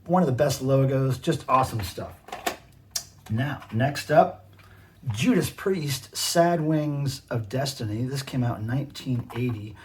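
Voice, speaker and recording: A middle-aged man with an American accent talking casually and enthusiastically in a rambling show-and-tell as a collector hosting his own video. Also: mid-range voice. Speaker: cassette collector and video host. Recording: noisy home recording with clicks.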